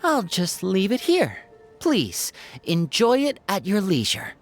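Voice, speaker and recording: A woman's voice: medium pitch, huge pitch swings, slow, faint music behind. An actress voicing a composed young female adventurer in a game, speaking politely and formally.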